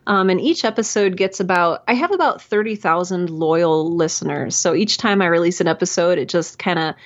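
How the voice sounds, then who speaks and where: A woman's voice: fairly low pitch, lively intonation, a little fast. Chatty woman on a dull lo-fi line. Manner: bubbly, chatty, laughs often, many fillers. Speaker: guest, audio drama creator. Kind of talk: casual conversational interview.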